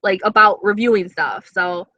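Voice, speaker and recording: A woman's voice: mid-range voice, moderate pace, sharp tone, no room sound, highs cut off. A young woman with an East Coast accent chatting casually on a live stream, reading viewer comments and swearing freely. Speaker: beauty vlogger.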